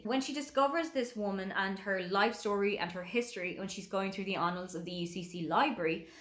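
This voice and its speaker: A woman's voice: mid-range voice, a bit bright. A woman in her thirties with an Irish accent, earnestly recommending books to camera from a room at home. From Ireland. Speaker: book reviewer. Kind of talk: casual book review to camera. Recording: roomy home recording.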